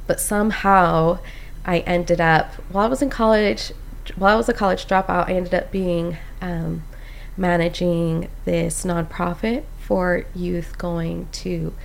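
A woman's voice: fairly low pitch, a little slow, gravelly. A young woman from Costa Rica, a postpartum doula, telling her story in a casual chat, full of "like" and "um". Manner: animated storyteller, many "like"s and "um"s.

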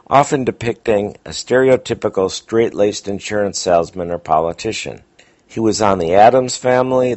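A man's voice: lowish voice, a little mellow. A man hosting and introducing old radio episodes in scripted narration, on a dull recording. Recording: dull, lo-fi sound.

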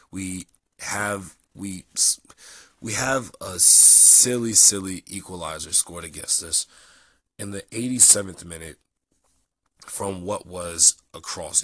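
Low husky young man, stuffy with a cold. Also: no echo. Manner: relaxed, rambling with many ums. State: sick, nasal and dried out. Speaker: vlogger. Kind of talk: casual audio log.

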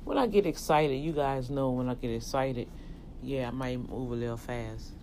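A podcast host with a Louisiana accent who talks in a slow, deliberate teaching manner during a casual monologue. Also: medium pitch, a little mellow, slight background noise. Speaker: podcast host and tax office manager.

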